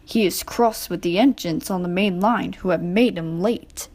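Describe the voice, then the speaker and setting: A woman's voice: lowish voice, moderate pace, darkish tone. A woman narrating a scripted children's storybook.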